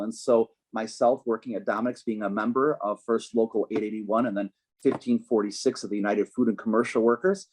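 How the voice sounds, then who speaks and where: A man running for office, answering interview questions in an earnest, measured way with frequent ums. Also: medium pitch, a little mellow. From United States, Chicago. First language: Polish. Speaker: political candidate, guest.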